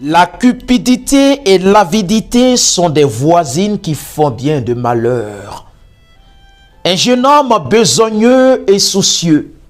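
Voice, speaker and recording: A poet reciting spoken-word verse in a solemn, declamatory way with theatrical emphasis. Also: medium pitch. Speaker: slam poet. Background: music under the voice.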